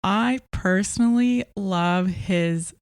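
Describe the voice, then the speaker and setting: A woman's voice: medium pitch, slow, darkish tone, no room sound. Female podcast host, mid-range voice, scripted review narration, very dry recording.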